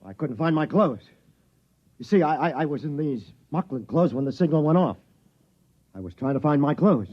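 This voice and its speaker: An indignant, flustered spaceman in old radio drama style. His voice is husky and lively in pitch, on a dull 1950s recording. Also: moderate pace.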